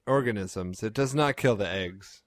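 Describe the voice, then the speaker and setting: A man's voice: lowish voice, normal speed, dry recording. Drunk, blunt young man. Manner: laughing, blunt, contradicting. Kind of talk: casual drunk chat.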